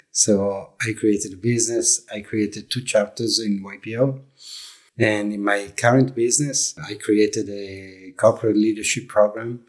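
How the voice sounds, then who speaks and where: A middle-aged man from Italy with an Italian accent, speaking English as a second language and answering interview questions in a reflective, halting way. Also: fairly low pitch. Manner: reflective, halting, with many fillers and restarts. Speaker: guest, leadership coach.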